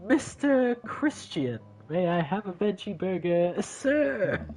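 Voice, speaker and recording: Casting: rebellious teenage game character acted by a man, offhand, cheeky, slangy, with animated pitch. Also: takes it slowly, full character voice. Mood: nonchalant, cheeky.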